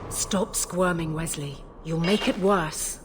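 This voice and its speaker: An actress playing a firm, practical woman soldier and loyal wife in a fantasy video game, brisk and steady. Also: on the low side, over loud music. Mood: concerned.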